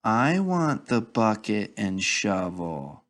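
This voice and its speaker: A man's voice: lowish voice, very animated, takes it slowly, a bit bright, full character voice. A man voicing a whiny young child in a storybook, complaining and pleading to get their own way. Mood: whining.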